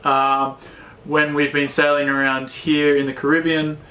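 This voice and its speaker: An Australian man co-hosting a sailing chat, talking in a relaxed, plain way with many fillers. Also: fairly high pitch, a little slow, roomy sound, rough recording. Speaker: sailing vlog co-host. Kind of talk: casual Q&A chat.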